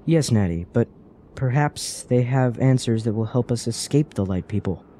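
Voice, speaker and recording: An actor playing a thoughtful, questioning adventurer in a fantasy radio drama, earnest and persuasive, with formal phrasing. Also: male voice, medium pitch, expressive, normal speed, dark tone, natural voice.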